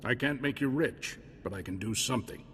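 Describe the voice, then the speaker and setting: An actor playing a hearty Republic military officer in a video game, talking in a folksy, emphatic, commanding way. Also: male voice, mid-range voice, a little fast, fairly bright tone, close to an everyday voice. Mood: grateful, hearty. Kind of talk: scripted video game dialogue. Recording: long reverb, faint noise and ambience.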